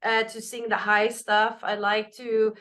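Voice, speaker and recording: A woman singer with a naturally raspy voice, answering interview questions in a loose, candid way, full of "like" and "you know". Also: a little high, flat delivery, a little slow, a bit bright. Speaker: band singer.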